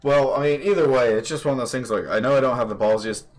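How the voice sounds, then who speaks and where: A man's voice: mid-range voice, fast, low-quality recording. A young man hosting a podcast, chatting casually over a voice call, rambling with lots of fillers and casual swearing. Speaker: podcast host. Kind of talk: casual chat. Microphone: computer microphone on a voice call.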